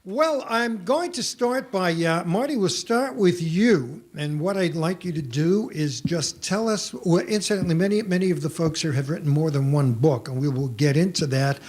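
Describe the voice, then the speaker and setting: A man's voice: on the high side, animated, moderate pace, few pauses, darkish tone. A man moderating a panel with courteous, formal introductions, recorded live at a convention.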